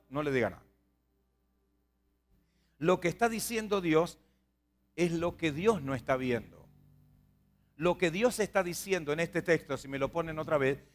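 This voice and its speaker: A man with a Rioplatense Spanish accent preaching a sermon as a pastor, conversational and repetitive, putting questions to his listeners. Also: a little high. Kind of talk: sermon to a congregation.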